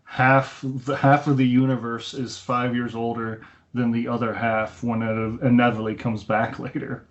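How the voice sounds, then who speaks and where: Muffled skype audio, average-pitched guy rambling casually on a movie podcast. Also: flat delivery, moderate pace. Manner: conversational, rambling, informal. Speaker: podcast co-host reviewing films. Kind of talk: casual film discussion. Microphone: internet voice call. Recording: dull, lo-fi Skype call.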